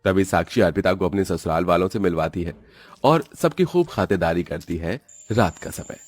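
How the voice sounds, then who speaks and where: A man's voice: deep voice, lively intonation, moderate pace, no echo. A man giving scripted narration for a cartoon family story.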